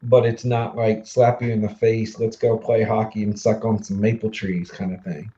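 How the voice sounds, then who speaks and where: A man in his forties from the Mid-Atlantic US, hosting a casual livestream chat, easygoing and quick to laugh, with lots of fillers. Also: lowish voice, flat delivery, warm tone, lo-fi sound, a little hiss or hum. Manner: chatty, laughs easily, trails off with fillers. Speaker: livestream host.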